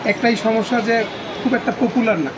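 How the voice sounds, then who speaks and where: A man's voice: extremely high pitch, normal speed, crowd noise. A man with a Bangladeshi accent casually explaining products as a shop seller. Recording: loud street and vehicle noise. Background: urban ambience with vehicles.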